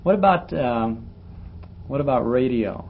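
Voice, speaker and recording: A man's voice: medium pitch, animated, measured pace. Male interviewer on dull, noisy tape. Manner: hesitant, admiring of guest. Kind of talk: casual radio interview.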